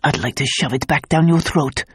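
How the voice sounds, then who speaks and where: A man's voice: on the high side, normal speed, husky. A man reading an audiobook, voicing a proud, bitter nobleman who speaks in a cool, cutting way. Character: Nicholas, a proud, bitter Russian prince.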